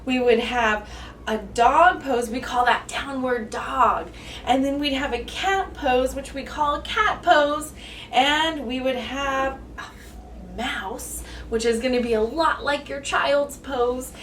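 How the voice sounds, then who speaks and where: Slow, high-voiced woman teaching children, echoey room. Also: fairly bright tone, slight background noise. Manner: encouraging, simple singsong teacher talk. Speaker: children's yoga and story teacher. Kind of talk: instructing young children through poses, step by step. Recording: echoey room, distant mic, music behind.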